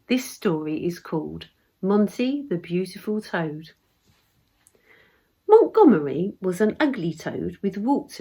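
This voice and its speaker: A woman with a southern English accent reading her own rhyming story in an expressive storybook manner. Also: medium pitch, slightly rough. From Essex, England. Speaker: poet reading own work. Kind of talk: expressive storybook verse narration.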